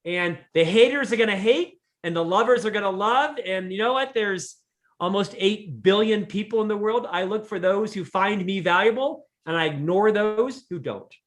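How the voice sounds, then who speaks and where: A man's voice: high voice, normal speed. A middle-aged man speaking as a podcast guest, telling candid, self-deprecating stories about leadership in a conversational way. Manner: earnest, self-deprecating storyteller. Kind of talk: conversational interview.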